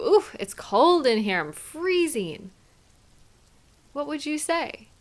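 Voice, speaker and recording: A woman playing a tender, doting girlfriend who soothes and gently coaxes her partner in an intimate one-sided roleplay. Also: high-pitched. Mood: playful, affectionate. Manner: soothing, affectionate, gently coaxing, softly playful.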